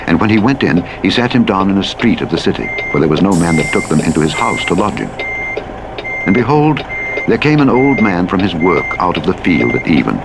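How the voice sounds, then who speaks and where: A man reading scripture as a formal, solemn and measured scripted narration. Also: sits low, big pitch swings, vocal fry. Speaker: audiobook Bible narrator. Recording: loud truck engine noise, played as radio. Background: truck simulator engine, vehicle sounds, reversing beeps.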